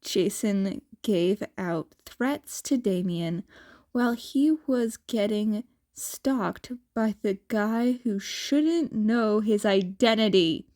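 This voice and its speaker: Slow, mellow woman reading a story. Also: medium pitch. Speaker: amateur fan fiction reader. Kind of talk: scripted story narration, read aloud.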